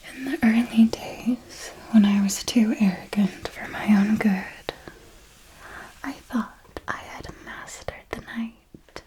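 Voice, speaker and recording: A woman playing an ancient, refined female vampire mentor in an ASMR roleplay, telling her story in a deliberate, theatrical and elegant manner. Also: fairly high pitch, fairly even intonation, dark tone, rough recording. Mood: wistful, elegant menace. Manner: slow, deliberate, theatrical, elegant old-fashioned phrasing.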